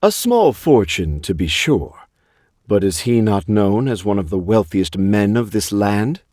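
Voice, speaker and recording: An actor playing a calm, shrewd noble strategist in a video game, speaking in a measured, wry, self-assured manner. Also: male voice, fairly low pitch, no echo, clean studio sound.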